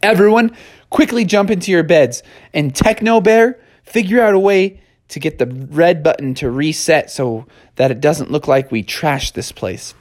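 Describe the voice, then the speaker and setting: A storyteller voicing a young babysitter bear in a bedtime story, giving orders in a brisk, bossy way. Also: mid-range voice, lightly acted. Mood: urgent.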